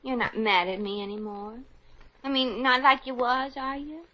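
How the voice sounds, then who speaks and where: A woman's voice: fairly high pitch, steady tone, normal speed, natural voice. An actress playing a brassy, slangy young working-class woman in a 1950s radio drama. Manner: slangy, street-smart, ungrammatical. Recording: dull old radio recording.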